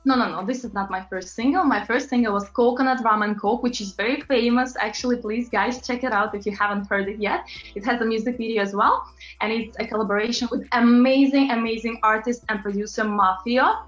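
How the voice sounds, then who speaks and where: Chatty woman from Latvia, higher voice, interview guest; faint music under a muffled recording. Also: fairly even intonation, a little fast, a bit bright. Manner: chatty, laughs often, many fillers. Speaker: interview guest, pop singer. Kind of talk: casual interview chat. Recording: roomy, dull lo-fi sound with faint music.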